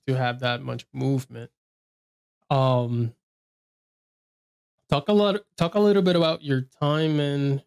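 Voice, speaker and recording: A man with a gravelly, creaky voice runs a casual interview. He winds through long questions with many fillers and laughs readily. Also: medium pitch, a little mellow. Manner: rambling, filler-heavy questions, laughs easily.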